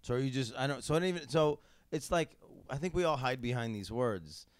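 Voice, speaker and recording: Fast-talking man hosting a podcast. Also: mid-range voice, animated, no echo. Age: 30s. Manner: rambling, full of fillers and restarts. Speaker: podcast host. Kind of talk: casual chat. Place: hotel room.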